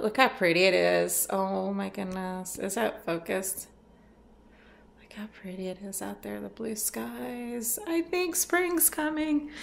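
Slow-talking woman with a gravelly voice. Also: medium pitch, slight background noise. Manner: rambling, thinks out loud with many ums. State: losing her voice, getting sick. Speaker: nail art swap host. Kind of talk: casual unboxing chat. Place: sunny room at home by a window.

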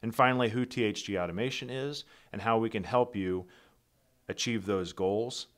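Middle-aged male presenter, very low voice, steady and businesslike, keynote over slides. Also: moderate pace. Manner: steady, methodical, businesslike, with occasional slips and restarts. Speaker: company founder presenting.

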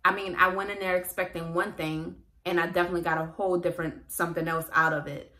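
Student testimonial; New Orleans woman, lowish, candid. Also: steady tone, quick pace, sharp tone. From New Orleans, Louisiana. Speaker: student documentary maker. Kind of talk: reflective testimonial.

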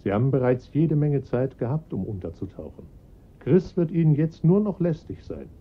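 An actor playing a formal, calm police inspector who explains the case patiently. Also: male voice, mid-range voice, breathy edge, phone-quality sound. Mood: measured. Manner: measured, formal, reassuring. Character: calm, dutiful middle-aged male police inspector. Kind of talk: radio drama acting.

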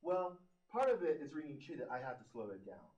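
A man's voice: on the high side, big pitch swings, fast, mellow, highs cut off. Effusive male TV host in his forties. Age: 40s. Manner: enthusiastic, effusive. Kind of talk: live TV show hosting. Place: TV studio set. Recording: roomy studio from a distance.

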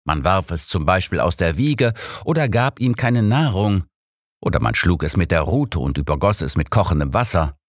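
A man reading an elderly, learned detective in an audiobook, with a measured, formal, explanatory and calmly assured way of talking. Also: sits low, no room sound, studio quality. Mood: lecturing.

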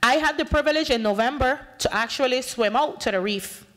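High, passionate Bay Islands Honduran woman. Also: brisk, a bit bright. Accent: Bay Islands Honduran English. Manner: passionate, emphatic, gestures widely, acts out dialogue. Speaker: panel speaker, community activist. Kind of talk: impassioned conference talk. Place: conference room at a podium. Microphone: podium microphone.

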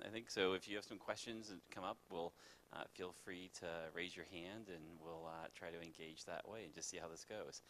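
A man's voice: low voice, pushed and bright, rough recording. A man with a cold, sniffling, hosting an easygoing discussion in a church sanctuary on a handheld mic. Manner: easygoing, filler-heavy hosting. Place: church sanctuary with a live audience.

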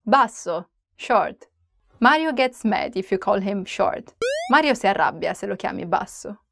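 A woman's voice: mid-range voice, expressive, unhurried, creaky, fairly bright tone, no echo. A young woman from Italy with an Italian accent, giving a chatty, playful on-camera language lesson. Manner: chatty, playful, switches between English and Italian example sentences. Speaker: language teacher. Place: small indoor room, plain wall or flag backdrop. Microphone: camera framed head and shoulders.

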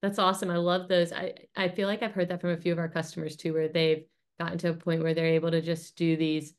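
A woman's voice: fairly low pitch, level tone, fast. A woman in her thirties chatting informally as an interviewer, affirming and conversational, on a video call from a small room. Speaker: interviewer, host. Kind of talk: casual interview chat. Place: small home office, indoors.

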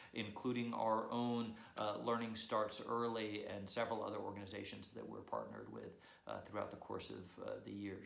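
A man's voice: lowish voice, vocal fry. A man, a priest, giving church announcements from his living room, hesitant with many fillers. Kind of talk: church announcements and opening prayer. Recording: echoey room.

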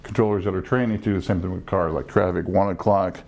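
A middle-aged man speaks in a deep voice with plenty of creak. He explains in a measured, instructive way, like an experienced controller talking on a panel in a meeting room. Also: steady tone, darkish tone. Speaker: air traffic controller. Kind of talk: panel discussion, explanatory talk. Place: small meeting room, around a conference table.

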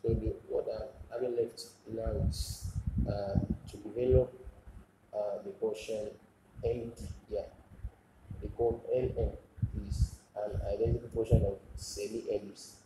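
A man's voice: on the low side, flat delivery, unhurried. A man giving a step-by-step drawing demonstration as a teacher, repeating phrases and answering his own questions. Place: indoors at a drawing desk. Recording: echoey room, noisy, with clicks.

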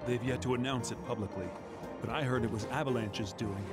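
An actor playing a prim, righteous corporate middle manager in a video game, speaking stiffly and formally. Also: male voice, mid-range voice, fairly even intonation, brisk, natural voice. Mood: hushed, gossiping. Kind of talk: video game cutscene acting. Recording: loud music behind the voice.

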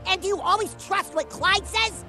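A man voicing an indignant, sharp-tongued boy who argues back and curses. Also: very high-pitched, normal speed, faint music behind, exaggerated voice. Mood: exasperated. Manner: exasperated, cursing retorts. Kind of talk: cartoon game cutscene acting.

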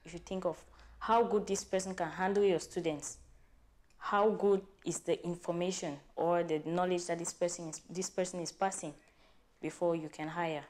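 Cameroonian woman, low calm voice. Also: normal speed, a little hiss or hum. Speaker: guest teacher. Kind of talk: interview answers. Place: small studio living-room set, indoors.

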